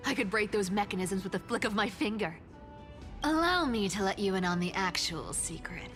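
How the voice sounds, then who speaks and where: A woman's voice: mid-range voice, expressive, moderate pace, a bit bright, lightly acted. Actress doing a sly trickster demigod lady from a game, mocking n boastful, swoops all over, faint music behind. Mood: boastful, excited. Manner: taunting, boastful, theatrical. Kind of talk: video game voice acting.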